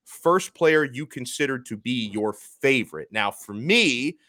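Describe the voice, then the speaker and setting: A man in his thirties hosting a sports podcast in a casual, energetic chatty way. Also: medium pitch, measured pace, no room sound, clean studio sound. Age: 30s. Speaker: podcast host.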